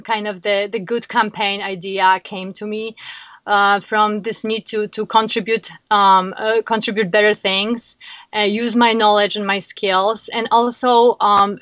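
A middle-aged woman with a Polish accent speaks earnestly as an interview guest, often pausing on fillers and restarting her sentences. Her voice sits at an ordinary pitch. The sound is dull and dry, with little room around it. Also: a bit bright, no echo. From Poland. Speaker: guest, founder. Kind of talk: casual interview chat.

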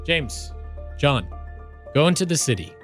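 An actor playing a calm, solemn, authoritative teacher in an audio drama. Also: male voice, a little high, unhurried, faint music behind. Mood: calm, commanding.

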